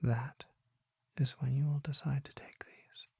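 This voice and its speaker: A performer voicing a mysterious, gentle and solemn vampire apothecary in a breathy, hushed whisper, with formal, deliberate phrasing for an ASMR roleplay. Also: mid-range voice, flat delivery, no echo, clean studio sound, full character voice.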